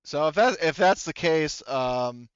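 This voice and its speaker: A man's voice: fairly high pitch, expressive, measured pace, smooth, dry recording, muffled recording. A man acting as game master, narrating a tabletop roleplaying game in a casual, hesitant way. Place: indoors, online voice session. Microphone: headset.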